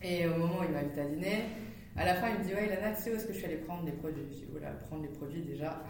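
Guest voice: woman in her thirties, animated and fast in bursts, recorded far off the mic in a very echoey room with a low rumble. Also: crowd noise. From Netherlands. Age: 30s. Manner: animated, laughs often, talks fast in bursts. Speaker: startup founder, podcast guest. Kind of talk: casual interview storytelling.

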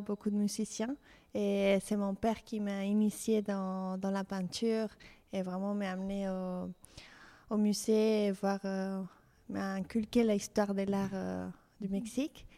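A woman's voice: medium pitch, warm tone. A young woman from Mexico with a Mexican Spanish accent, a fashion designer speaking as an interview guest in French, not her first language, hesitant with many fillers and restarts. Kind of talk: interview conversation.

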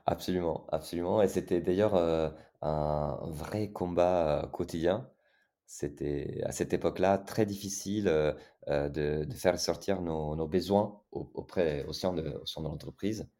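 A man's voice: low-pitched, a little slow, dark tone. A man in his thirties from Italy with an Italian accent, speaking as a podcast guest in a hesitant way, with many fillers and restarts. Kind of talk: casual interview talk.

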